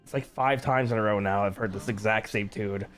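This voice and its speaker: Low-voiced man streaming a video game. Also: fairly even intonation, fairly quick, a bit bright, faint music behind. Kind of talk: casual playthrough commentary, reading on-screen narration.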